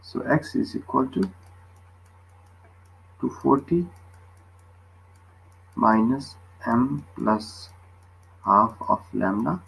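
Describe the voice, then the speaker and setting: A man's voice: medium pitch, animated, very slow, creaky, pauses often, a bit bright, breathy edge, low-quality recording. A man tutoring physics, explaining a worked problem step by step and often checking with short questions.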